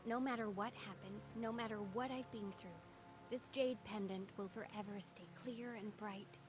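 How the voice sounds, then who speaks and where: A woman voicing an earnest young female swordswoman in a game, soft-spoken and sincere. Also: mid-range voice, steady tone, normal speed, over music, close to an everyday voice. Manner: earnest and soft-spoken, proud battle cries. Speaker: game character. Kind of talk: game character voice lines.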